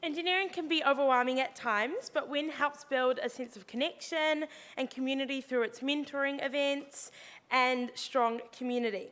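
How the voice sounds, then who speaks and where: Young NZ woman hosting a student evening, high and bright, upbeat, long hall reverb. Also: normal speed. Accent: New Zealand. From New Zealand. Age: young adult. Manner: upbeat and encouraging. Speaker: student presenter. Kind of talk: presenting to an audience.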